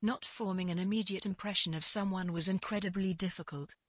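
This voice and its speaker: A woman narrating literary fiction from a script in a measured way. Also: medium pitch, fairly even intonation, no room sound, studio quality.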